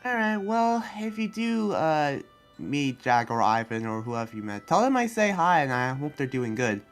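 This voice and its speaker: A man's voice: fairly high pitch, very animated. A man playing an earnest town-guarding demon slayer in an improvised anime game roleplay, talking in a hesitant, rambling way. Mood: earnest, friendly. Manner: rambling, hesitant, halting explanations. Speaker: roleplay player. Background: background music.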